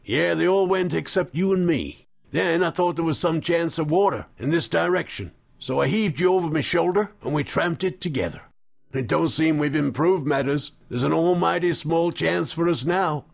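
Storybook part: worn-out frontier man, voiced by a male reader, gravelly and husky, gentle, folksy backwoods talk. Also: on the high side, normal speed. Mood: resigned, weary. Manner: folksy drawled frontier dialect, muttering. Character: gaunt, dying frontier wanderer, gentle and weary man.

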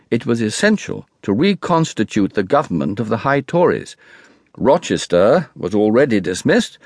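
Man, English accent, formal history narration, oratorical delivery, lively pitch movement, close dry recording. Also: dry recording. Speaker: audiobook narrator reading history. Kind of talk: scripted narration, formal literary history reading.

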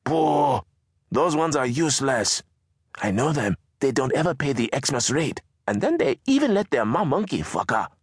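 A fierce toothless mother shrills and scolds her son from a cramped shack, as a male narrator puts her on. Her voice leaps high and swings widely, with a husky grain beneath the sharp scolding. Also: very animated, moderate pace, no echo, studio quality.

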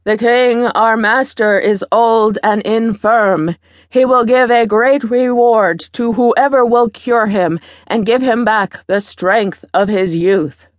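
Woman being a royal town crier in a storybook, formal proclaiming, bit higher, slow. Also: no echo. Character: king's herald, grand public crier.

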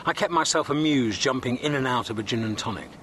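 An actor playing a breezy, cheerfully unhinged galactic hitchhiker in a radio comedy, whimsical and offhand. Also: male voice, mid-range voice, quick pace, a bit bright, slight background noise, lightly acted. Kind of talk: radio comedy drama acting.

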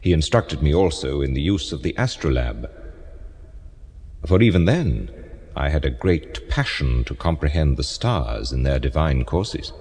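An actor playing a solemn French Renaissance prophet and physician in an audio drama, declaiming gravely in formal, archaic phrases. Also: male voice, extremely low pitch, expressive, a little slow, darkish tone, heavily acted. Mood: solemn reminiscence. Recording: long cavernous reverb on voice.

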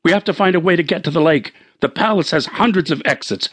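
A man's voice: a little high, quick pace, fairly bright tone, breathy edge, voice pushed up. A man reading an audiobook, voicing a frantic middle-aged husband who gives urgent, breathless commands while fleeing with his wife.

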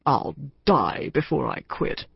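A woman reader voicing a despairing older male company chairman who wails his bitter complaints slowly. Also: medium pitch, big pitch swings, creaky, husky, no echo, highs cut off, exaggerated voice. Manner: wailing, bitter, slow.

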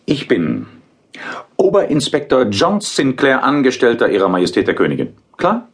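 An actor playing a hard-bitten, exasperated police inspector in a German radio play, blunt and dramatic. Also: male voice, medium pitch, huge pitch swings, normal speed, breathy edge, full character voice. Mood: exasperated, incredulous.

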